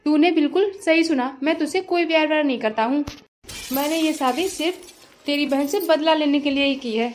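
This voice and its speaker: A woman's voice: high-pitched, a little fast, slight background noise, natural voice. A woman playing a smug, vengeful young man. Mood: taunting. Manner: smug, taunting.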